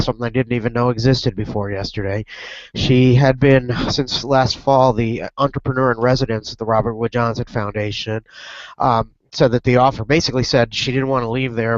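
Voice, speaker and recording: A man's voice: mid-range voice, no echo. Stuffy-voiced man in a dull dry recording. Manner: rambling, many restarts and fillers. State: stuffy from a cold. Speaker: reporter. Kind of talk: casual web broadcast chat. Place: airport lounge.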